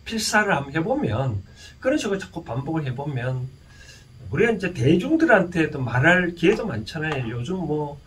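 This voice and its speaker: A man with a Gyeongsang Korean accent giving an informal explanatory lecture, speaking haltingly and often putting rhetorical questions to his listeners. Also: fairly high pitch, very animated, a bit bright. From Gyeongsang region, South Korea. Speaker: lecturer and counsellor. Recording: distant mic with faint background noise.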